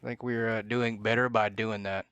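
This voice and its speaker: A man's voice: lowish voice, level tone, normal speed, fairly bright tone, highs cut off, faint music behind. A man giving live gameplay commentary as a streamer, thinking aloud and muttering to himself as he plays. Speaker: game streamer.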